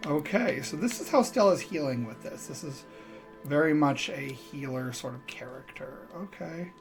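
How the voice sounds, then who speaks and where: A man's voice: on the high side, expressive, normal speed, faint music behind. A man giving casual, self-deprecating and hesitant commentary as he streams a game.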